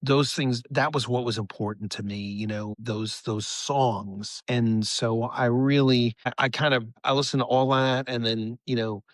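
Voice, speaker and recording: Effusive, halting man in a dry-sounding interview. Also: medium pitch, fairly even intonation, no room sound. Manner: effusive, halting, filler-heavy enthusiasm. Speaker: musician guest, songwriter. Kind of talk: casual podcast interview.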